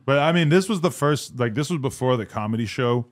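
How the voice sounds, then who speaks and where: A man's voice: mid-range voice, expressive, a little fast. A man hosting a podcast, talking through the news in a casual, rambling way and swearing freely. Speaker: podcast host. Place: podcast studio. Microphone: studio mic on stand.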